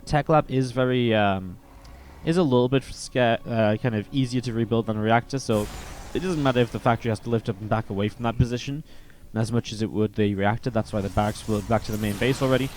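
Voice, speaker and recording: A man commenting play by play on a strategy video game match, a little sniffly from a cold, steady and then more excited in the fights. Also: medium pitch, quick pace, a little hiss or hum. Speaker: esports caster.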